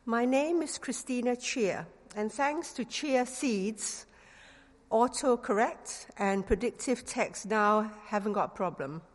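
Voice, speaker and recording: A woman's voice: fairly high pitch, slow. Singaporean woman preaching in a reverberant church. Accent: Singaporean English with a touch of London slang. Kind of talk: sermon.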